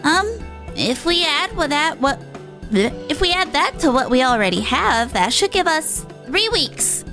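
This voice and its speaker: A woman's voice: high-pitched, big pitch swings, measured pace, music in the background, pitched above the performer's own voice, heavily acted. A woman voicing an eager, sweet young girl in a video game, earnest and childlike. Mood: earnest, hesitant. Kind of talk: amateur game dialogue read-aloud.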